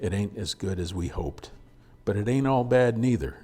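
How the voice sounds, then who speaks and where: A man voicing a gruff, plain-spoken frontier scout with an in a storybook reading. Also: lowish voice, expressive, moderate pace, darkish tone, faint music behind. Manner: folksy, gritty, plain-spoken.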